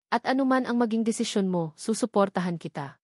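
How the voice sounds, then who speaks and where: A woman's voice: mid-range voice, expressive, brisk, darkish tone, no room sound, clean studio sound, lightly acted. A woman voicing a loving, supportive old grandmother in an audio story, with a tender, reassuring manner.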